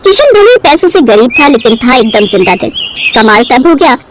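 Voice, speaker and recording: A woman's voice: on the high side, sing-song, a little fast, background noise. A woman narrating a scripted children's story expressively, as a storyteller.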